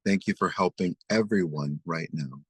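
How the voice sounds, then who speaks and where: A man leading a guided meditation and prayer, speaking slowly and soothingly as he leads spoken affirmations. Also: low-pitched, breathy edge, dry recording. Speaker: spiritual meditation leader.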